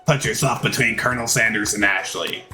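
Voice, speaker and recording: A man's voice: on the low side, a little fast, pushed and bright, faint music behind. A man narrating a video game playthrough in a theatrical way, reading the text aloud and stumbling over the odd word.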